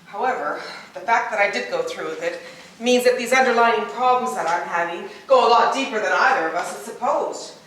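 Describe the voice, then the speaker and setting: A woman rehearsing a stage play as an earnest middle-aged wife who justifies herself in a deliberate, theatrical way. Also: medium pitch, fairly bright tone, close to an everyday voice. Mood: earnest, reasoning. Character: earnest, self-justifying wife calmly rationalizing her fantasy affair. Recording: distant, echoey room with background noise.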